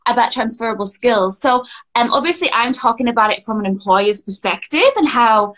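A woman's voice: fairly high pitch, fairly quick, a bit bright. Chatty woman, employment coach. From Scotland. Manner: chatty, frequent fillers and restarts, laughs easily. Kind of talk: casual podcast chat.

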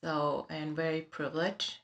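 Woman, Vietnamese accent, low voice, halting, fillers, office noise. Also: flat delivery, a bit bright, lo-fi sound. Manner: halting, many fillers and restarts. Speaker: interviewee, realtor. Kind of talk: personal life story in conversation. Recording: office room with background noises.

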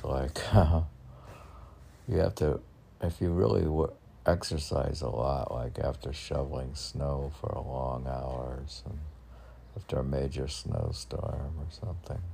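A man casually reading poetry and chatting about it in a halting, offhand way. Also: extremely low pitch, steady tone, creaky, dark tone, a little hiss or hum.

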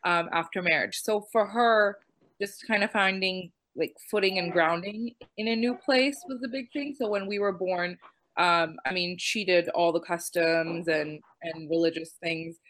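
A woman's voice: fairly low pitch, unhurried, fairly bright tone, rough recording. A woman raised in America, a panelist, speaking casually with many ums and likes.

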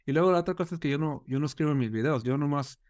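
Male podcast guest, lightly high voice, casual, peppers talk with English fillers. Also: very fast, darkish tone. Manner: mixes English filler words into Spanish. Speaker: guest video creator. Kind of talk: casual panel chat.

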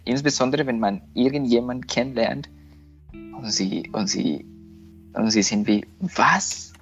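A man with a Spanish accent talks slowly, pausing and restarting as he searches for words. The recording is dull and lo-fi, with faint guitar music underneath. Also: mid-range voice. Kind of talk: casual conversation.

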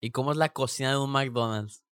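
Young Mexican Spanish podcast host, smooth voice. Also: male voice, mid-range voice, fairly bright tone, dry recording, studio quality. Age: young adult. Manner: playful, slangy, quick follow-up questions. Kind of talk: casual podcast chat.

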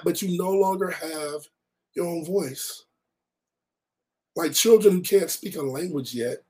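A middle-aged man who hosts a motivational podcast, hoarse and raspy from a recent cold, reading his own reflective blog with emphatic repetition. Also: fairly high pitch, mellow. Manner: emphatic, repeats key phrases for effect. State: hoarse, recovering from losing his voice. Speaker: podcast host and life coach.

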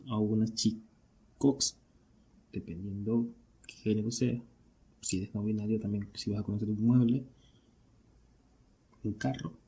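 Man, Venezuelan accent, lowish pitch, raspy husky voice, casual podcast monologue, question-and-answer phrasing. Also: dark tone. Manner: conversational, asks and answers own questions. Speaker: podcast host.

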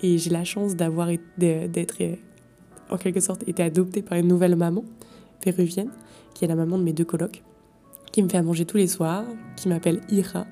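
A woman's voice: fairly low pitch, warm tone. A young French woman studying abroad, talking casually and enthusiastically about her experiences, with hesitations and fillers. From France. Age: young adult. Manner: enthusiastic, effusive, with many fillers. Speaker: exchange student. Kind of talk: casual testimonial.

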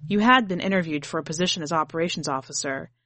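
A woman's voice: sits low, fast, pushed and bright, no room sound. A woman reading literary fiction as scripted narration for a podcast. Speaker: podcast host and story reader.

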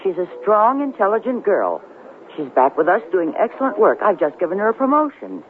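An actress playing a stern, principled middle-aged woman who runs a news department, formal and measured in a 1970s radio drama. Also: medium pitch, big pitch swings, lightly acted. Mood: stern, disapproving. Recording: tinny, lightly noisy 1970s radio broadcast.

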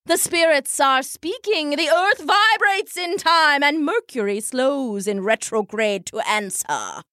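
A woman playing a pompous booming fortune-teller. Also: expressive, slow pace, bright, forceful tone, dry recording, clean studio sound, heavily acted. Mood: grandiose. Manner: grandiose, booming, intoning. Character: pompous theatrical female soothsayer.

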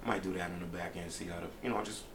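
A man from Brooklyn, a rapper as a guest, talking casually in a laid-back, meandering way with many pauses. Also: sits low, steady tone, noisy background. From Brooklyn, New York. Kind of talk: casual interview chat.

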